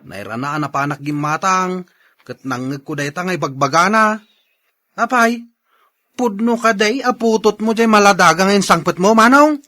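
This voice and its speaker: An actor playing an excitable, nosy young sibling in a radio drama, with an Ilocano Filipino accent. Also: medium pitch, moderate pace. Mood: alarmed.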